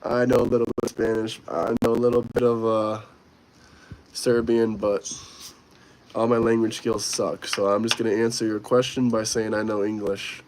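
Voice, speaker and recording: A man's voice: fairly low pitch, flat delivery, moderate pace, darkish tone, a little hiss or hum, rough recording. Blunt young man streaming. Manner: offhand, blunt replies to viewer comments. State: slightly sick. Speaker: livestream host. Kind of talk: casual live-stream chat reading comments.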